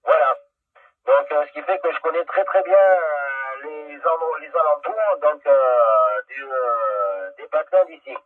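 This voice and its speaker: A man from France chatting casually over CB radio as an operator, halting, with long hesitations. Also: fairly high pitch, phone-quality sound. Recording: thin, band-limited CB radio transmission.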